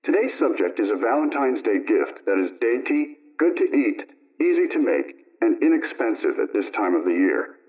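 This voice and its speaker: A man's voice: fairly low pitch, sing-song, moderate pace, few pauses, darkish tone. A man announcing a radio programme with a scripted introduction. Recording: dull, muffled radio-style recording.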